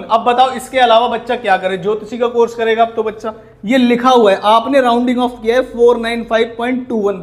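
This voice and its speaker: An Indian man teaching in an explanatory commentary style, scolding and indignant, with emphatic rhetorical questions. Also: very high voice. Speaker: accounting teacher. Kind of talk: explanatory video commentary on exam answer sheets.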